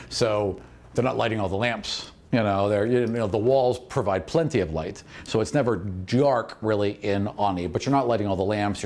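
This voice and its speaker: A man's voice: medium pitch, darkish tone. A man narrating a tabletop fantasy game as its game master.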